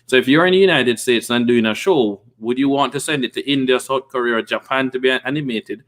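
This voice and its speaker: A man in his forties from Jamaica, with a Jamaican accent, hosting a livestream from a home office, telling stories and reading viewer comments with animated gestures. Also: medium pitch, fairly quick. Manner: animated storytelling with hand gestures. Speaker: livestream host. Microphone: desk microphone with pop filter.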